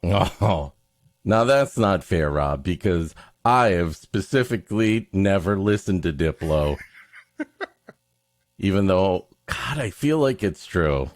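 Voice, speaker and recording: A man's voice: low-pitched, expressive, slow, no echo, studio quality. A man hosting a comedy podcast, mixing casual joking banter with mock-florid, dramatic reading of a story.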